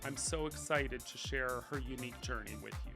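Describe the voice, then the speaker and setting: Gravelly-voiced upbeat male podcast host. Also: medium pitch, a little fast, over music. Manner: upbeat and eager. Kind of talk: podcast interview hosting.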